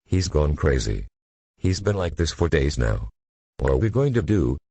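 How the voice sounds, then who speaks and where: A man's voice: extremely low pitch, big pitch swings, normal speed, warm tone, husky, no echo, exaggerated voice. A man playing a stern, weary older father who scolds his son. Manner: scolding and impatient.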